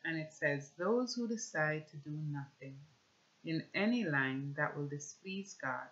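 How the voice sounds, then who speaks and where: Jamaican woman doing a faith testimony on a webcam, bright clear-cut voice, kinda dull lo-fi sound. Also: low-pitched, expressive, measured pace. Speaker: speaker giving a personal religious testimony. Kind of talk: personal testimony with scripture reading, talking to camera. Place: small indoor room at home with shelves.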